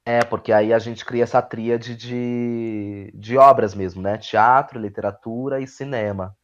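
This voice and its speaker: A man's voice: medium pitch, fairly even intonation, unhurried. A man with a Brazilian Portuguese accent talking reflectively as an interview guest, often repeating words and adding tag questions. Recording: dull, lo-fi audio.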